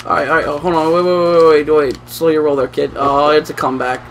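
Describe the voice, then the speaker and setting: A young man playing a video game with a friend, calling out the action with excitable exclamations. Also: fairly high pitch, quick pace, a little hiss or hum. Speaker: co-player. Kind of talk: casual play-by-play banter.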